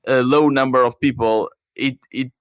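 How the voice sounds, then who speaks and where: A man from Portugal with a Portuguese accent, explaining his work haltingly as a guest in a conversational interview. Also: medium pitch, fairly even intonation, clear, resonant tone, dry recording, dull audio. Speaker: app creator, podcast guest.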